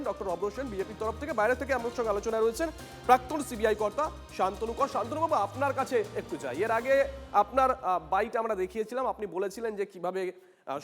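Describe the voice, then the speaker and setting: A man hosting a live TV news debate, speaking emphatically in short phrases. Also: high-pitched. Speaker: news panel host. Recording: long hall reverb, music underneath.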